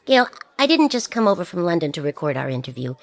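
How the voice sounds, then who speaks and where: A woman's voice: fairly low pitch, sing-song, quick pace. A woman playing a wistful, regretful television presenter, confiding and wry, in a comic science fiction radio drama.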